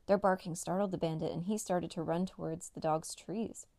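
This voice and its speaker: A woman's voice: on the low side, steady tone, normal speed, warm tone, no room sound. A woman narrating a children's read-along story as a storyteller.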